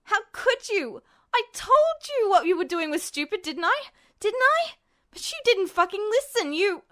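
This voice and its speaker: A woman voicing a furious, hot-headed boy who shouts and sputters insults in an audiobook-style story reading. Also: very high voice, big pitch swings, normal speed, pitched above the performer's own voice, heavily acted. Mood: livid.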